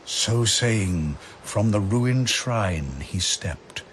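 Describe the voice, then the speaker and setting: A man's voice: on the low side, animated, very slow, a little hiss or hum. A man narrating epic verse as a drama, in a grave, theatrical, declamatory manner.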